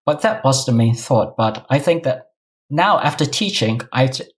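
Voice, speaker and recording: A man's voice: medium pitch, animated, moderate pace. A middle-aged man with a Singaporean accent, answering interview questions conversationally as an academic guest. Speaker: guest, New Testament professor.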